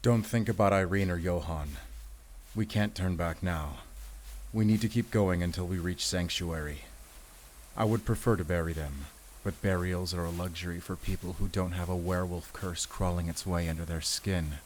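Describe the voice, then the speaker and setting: A man playing a battle-weary werewolf hunter speaks in a low voice, solemn and commanding. He addresses the listener closely and protectively, as in a cinematic audio drama. Outdoor ambience runs noticeably behind the words. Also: fairly even intonation, slight background noise, lightly acted. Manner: grave, deliberate, commanding.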